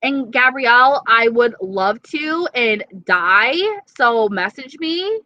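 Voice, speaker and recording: Chatty East Coast woman, bright voice. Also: fairly high pitch, slow, no room sound, lo-fi sound. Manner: chatty, swearing, animated. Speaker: beauty vlogger. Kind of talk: casual live chat reading viewer comments.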